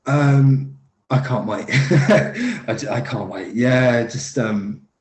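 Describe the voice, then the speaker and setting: A man's voice: medium pitch, animated, slow. A man being interviewed about his acting work, talking casually with many fillers, restarts and easy laughs. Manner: laughs easily. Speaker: lead actor guest. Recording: echoey room.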